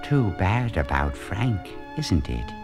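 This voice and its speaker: Man voicing a slow, deep, calm spaceship computer. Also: exaggerated voice. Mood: calm, cold. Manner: slow, measured, unemotional delivery. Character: calm, polite, menacing male ship's computer. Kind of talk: children's read-along audio drama. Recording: music under the voice.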